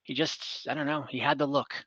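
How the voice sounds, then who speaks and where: A man's voice: medium pitch, steady tone, brisk, fairly bright tone, muffled recording, lightly acted. A man playing a captive female bandit who is blunt, pragmatic and offhand, with wry irritation, in a tabletop game. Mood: matter-of-fact. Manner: matter-of-fact, offhand.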